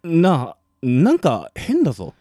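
A man acting as a mild, caring young male friend with gentle, casual speech in a Japanese audio drama. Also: a little high, wildly swooping pitch, a little slow, no room sound, studio quality, heavily acted. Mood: worried.

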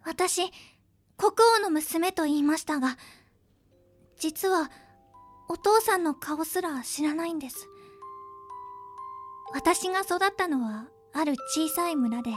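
A very high, girlish voice over music: a woman playing an earnest young princess in a radio drama. She speaks softly and politely, hesitant yet bright. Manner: soft, hesitant, formally polite. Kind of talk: Japanese audio drama acting.

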